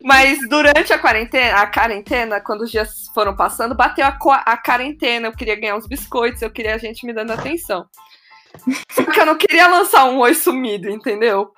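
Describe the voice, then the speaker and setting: A woman's voice: on the high side, a little fast, bright, forceful tone, soft background music. A young woman with a Brazilian accent, chatting casually and jokingly as a talkative podcast co-host. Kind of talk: casual group chat.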